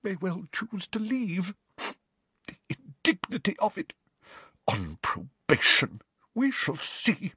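A man voicing an overwrought, eccentric older female seer teacher, speaking in a high, throbbing, theatrical voice. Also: animated, slow pace, fairly bright tone, no room sound, exaggerated voice. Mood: muttering.

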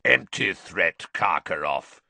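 A man voicing a gruff, cynical, battle-worn older man in an audiobook, growling in terse, mocking phrases. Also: sits low, expressive, slow pace, sharp tone, dry recording, heavily acted.

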